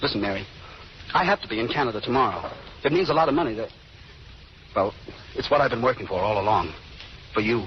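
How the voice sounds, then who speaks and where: A man's voice: medium pitch, lively intonation, quick pace, pauses often, natural voice. A man playing a young, swaggering, ruthless gangster in 1930s radio drama, cocky, slangy and commanding. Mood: romantic, persuasive. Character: young, swaggering, ruthless male gangster romancing his sweetheart. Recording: muffled, hissy old radio broadcast.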